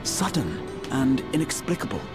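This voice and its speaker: A man voicing a learned, thoughtful adventurer in a fantasy video game, with measured, analytical phrasing. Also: medium pitch, very animated, over music, natural voice.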